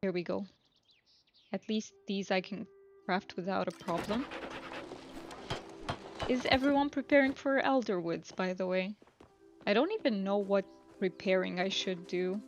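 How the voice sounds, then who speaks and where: A woman live streamer chatting casually while playing a video game, relaxed and rambling as she thinks aloud. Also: medium pitch, lo-fi sound. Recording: music playing behind the voice. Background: music.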